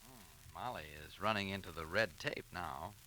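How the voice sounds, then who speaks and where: A man with an American accent announcing and pitching in 1940s radio style, emphatic and chummy. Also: normal speed, sharp tone, a little hiss or hum, poor audio. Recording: vintage 1940s radio recording.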